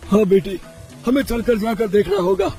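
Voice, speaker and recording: A man voicing a gentle, forgiving old father bull in an earnest, kindly manner for a cartoon story. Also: high-pitched, very animated, moderate pace, creaky, faint music behind, heavily acted. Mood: concerned. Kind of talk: Hindi cartoon story voice acting.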